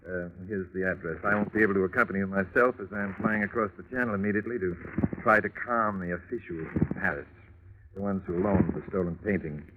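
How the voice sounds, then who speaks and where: An actor playing a harried, formal art official in old radio-drama style. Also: male voice, low-pitched, fairly even intonation, close to an everyday voice. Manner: hurried, formal. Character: harried, businesslike male London art official. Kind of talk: 1940s-50s radio drama acting. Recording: tinny vintage radio recording, faint noise.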